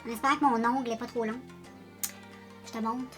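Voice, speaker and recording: A woman with a Quebec French accent talks through her makeup routine in a loose, rambling way, poking fun at herself as she goes. Her voice sits high and sounds bright and forward. Soft music plays faintly behind her. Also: fairly even intonation, brisk. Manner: chatty, rambling, self-deprecating, talks directly to viewers. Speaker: beauty vlogger. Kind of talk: casual makeup tutorial chat.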